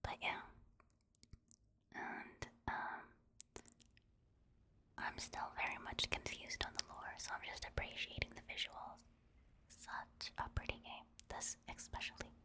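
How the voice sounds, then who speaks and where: Whispering woman doing soft ASMR game commentary. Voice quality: breathy, whispery. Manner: hushed, casual, unhurried musing. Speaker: ASMR creator commenting on gameplay.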